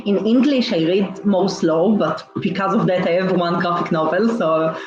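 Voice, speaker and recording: A woman whose first language is Hebrew, chatting casually as co-host of a book livestream in careful, slightly halting English. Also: fairly low pitch. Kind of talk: casual chat. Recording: echoey, dull lo-fi mic.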